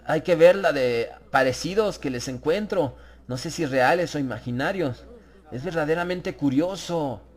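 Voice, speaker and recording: A man's voice: fairly high pitch, normal speed, pitched above the performer's own voice, lightly acted. Man playing a polite, slightly affected English merchant. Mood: bemused. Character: polite, self-deprecating English silk merchant revisiting his school.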